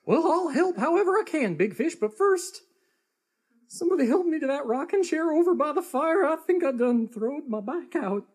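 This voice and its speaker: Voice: sits high, animated, moderate pace. A performer playing a folksy, proud small-town man with a rustic way of talking in a live comic radio play. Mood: strained bravado.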